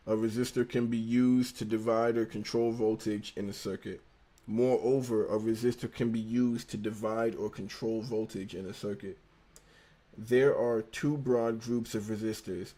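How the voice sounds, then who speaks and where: A young man with a slight lisp reads through an engineering textbook on a relaxed livestream. His voice sits a little low and sounds mellow and unhurried. He drops into easy slang and backs up to reread lines when he stumbles. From United States. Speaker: livestream host studying engineering. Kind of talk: casual livestream, reading a textbook aloud.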